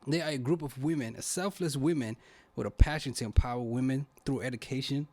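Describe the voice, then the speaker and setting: A man's voice: medium pitch, fairly quick, darkish tone. A man hosting a podcast interview in a casual, informal, slangy way. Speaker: podcast host.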